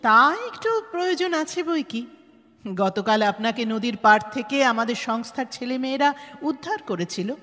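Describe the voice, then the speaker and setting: Woman acting stern, animated organisation head in long reverb. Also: on the high side. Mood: probing, calm. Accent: Bengali. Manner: measured, interrogating, firm and formal. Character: composed, authoritative woman heading a welfare organisation, probing and stern. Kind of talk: Bengali audio drama acting. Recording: reverberant hall-like echo.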